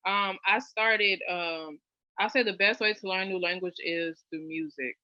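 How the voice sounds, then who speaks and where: A young woman from New Orleans chatting as an interview guest, with lots of fillers and lively jumps in pitch. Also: unhurried, no room sound. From New Orleans, US South. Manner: chatty, many fillers, animated rises in pitch. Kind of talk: casual interview chat. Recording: dull lo-fi dry sound.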